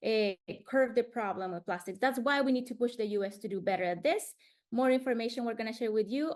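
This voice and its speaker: A woman's voice: a little high, a little mellow. A woman from Colombia, presenting slides in a webinar in an earnest way, often restarting words over a video call.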